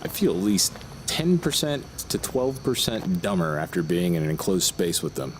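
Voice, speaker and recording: Touchy writer, sarcastic, defensive, extremely animated pitch, radio play, noisy background. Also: male voice, normal speed. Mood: irritated, defensive. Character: prickly, defensive, foul-mouthed male novelist under pressure. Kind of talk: audio drama dialogue.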